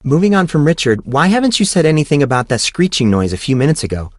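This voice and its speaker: A man's voice: a little high, very animated, quick pace, no echo, studio quality, pitched above the performer's own voice. A man voicing a sarcastic, easily exasperated teenage tomboy girl in an audiobook story. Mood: wry.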